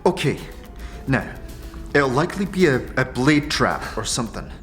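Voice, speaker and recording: A man's voice: medium pitch, very animated, moderate pace, a bit bright, faint music behind. A man voicing a brash, impatient young female tiefling rogue in a fantasy audio drama, with streetwise slang and a pushy, coaxing manner.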